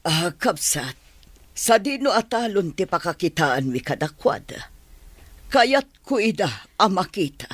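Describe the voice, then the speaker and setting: An actress playing an anxious middle-aged mother in a radio drama, with a husky voice and an Ilocano accent. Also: on the low side, very animated, measured pace, dry recording, heavily acted. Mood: worried.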